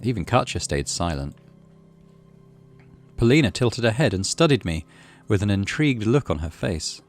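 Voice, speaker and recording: Male gamer-narrator, low register, very expressive swings, animated visual novel read over music. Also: moderate pace. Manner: dramatic, animated reading. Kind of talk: let's play, expressive story narration. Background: game music.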